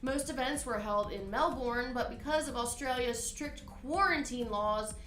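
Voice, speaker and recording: A woman's voice: fairly high pitch, measured pace, fairly bright tone. A woman hosting a casual live-stream trivia game, reading questions aloud and bantering with her co-host. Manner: chatty, giggly, reads questions then banters. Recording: echoey room with faint music.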